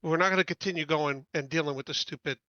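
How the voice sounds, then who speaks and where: A man's voice: on the high side, quick pace, sharp tone, no room sound, muffled recording. A man playing a tabletop game in casual talk, blunt, insistent and impatient.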